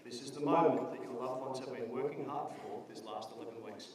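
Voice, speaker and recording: A man's voice: medium pitch, brisk, a little mellow. A man making formal announcements as the master of ceremonies at a graduation parade. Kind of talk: formal public address. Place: covered parade ground. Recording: echoey, distant PA with faint noise.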